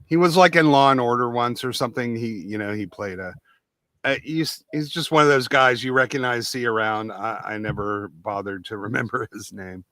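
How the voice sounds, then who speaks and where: A man with a bright, forward voice chats casually on a panel. He feels his way through each thought haltingly, and the sound is dry and close. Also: mid-range voice, no room sound. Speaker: panellist on a pop culture show. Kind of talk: casual chat.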